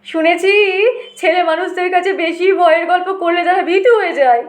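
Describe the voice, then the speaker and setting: A cantankerous old uncle from a comic storybook, played by a woman, full of bluster and tall tales. The voice is very high, theatrical and scolding. It rings with room echo. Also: normal speed. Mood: huffy.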